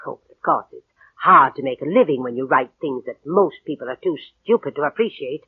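An actress playing an imperious, spiteful older rich aunt with cutting, theatrical delivery in a mid-century radio play. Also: female voice, low-pitched, expressive, a little fast, slightly rough, no room sound, phone-quality sound. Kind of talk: 1940s-50s radio drama acting. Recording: band-limited old radio broadcast.

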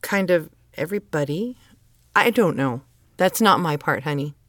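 A woman's voice: sits low, expressive, normal speed, fairly bright tone, no echo, clean studio sound. A woman playing a stern, exasperated middle-aged mother who holds to tradition, in a naturalistic audio drama, insistent and scolding. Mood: evasive, casual. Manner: insistent, guilt-laden pleading and scolding.

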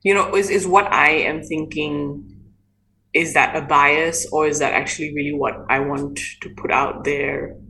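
Woman, low voice, thoughtful, halting restarts, faint background hiss. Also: fairly even intonation, gravelly, bright, forceful tone, rough recording. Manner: thoughtful, many restarts and fillers. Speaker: guest, playgroup creator. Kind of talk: conversational interview.

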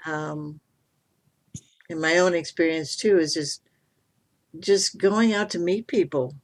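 A woman's voice: low-pitched, takes it slowly. A woman hosting an interfaith podcast interview, speaking in an appreciative, affirming manner. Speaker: podcast host.

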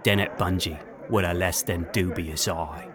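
Male audio drama narrator, lower register, florid dramatic storytelling, prominent music bed. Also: steady tone, a little slow, a crowd in the background. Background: loud music.

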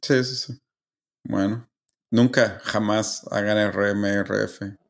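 A man's voice: on the low side, fairly even intonation, slow pace, gravelly. A man co-hosting a podcast in casual chat, joking and chipping in as he goes. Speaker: podcast co-host.

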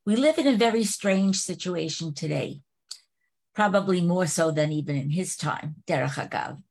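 A woman in the class reads a passage aloud at a steady, careful pace over a video call. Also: on the low side, normal speed. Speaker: class participant. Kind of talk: reading a text aloud.

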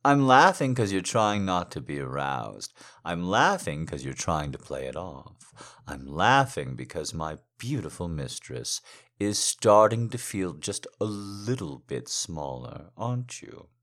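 A man playing a silver-tongued, adoring lover in an intimate erotic audio roleplay, teasing and gently commanding in drawn-out, breathy phrases. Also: low-pitched, big pitch swings, heavily acted. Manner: flowery, seductive, drawn-out phrasing with breathy whispers.

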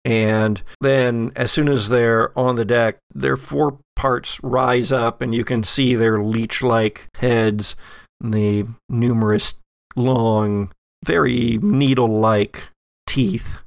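A man runs a horror tabletop game as game master, narrating slowly and descriptively. His voice has a gravelly creak, and it is recorded close and dry. He builds suspense deliberately. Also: mid-range voice, steady tone, dry recording.

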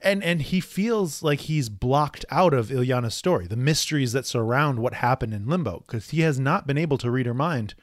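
A man's voice: mid-range voice, animated, moderate pace, hardly any pauses, no room sound. Male podcast co-host, casual comic recap, narrates panels, dry close sound. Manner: narrates the panels and reads dialogue aloud.

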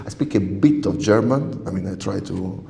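A man's voice: sits low, normal speed, gravelly, dark tone, roomy sound, big-room sound. A man from southern Italy whose first language is Italian, speaking English as a researcher guest. He talks hesitantly, with fillers and restarts. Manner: hesitant, searching for words, many fillers. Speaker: radiobiologist guest. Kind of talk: interview conversation.

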